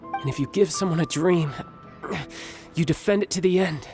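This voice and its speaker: A man voicing a cocky young warrior who is a tender, protective big brother in a video game, talking in a measured, wry way. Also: on the high side, darkish tone, lightly acted. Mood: earnest. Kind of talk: video game character dialogue. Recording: music behind the voice.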